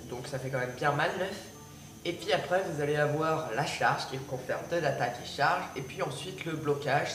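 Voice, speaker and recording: A man with a slightly high voice, stuffy from a cold, talks through a card game in a halting way full of fillers. He sits back from the mic in an echoey room, and music plays clearly behind him. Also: fairly bright tone, recorded from a distance. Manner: hesitant, many fillers and restarts. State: has a cold. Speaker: gaming video creator. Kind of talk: casual gameplay commentary. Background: background music.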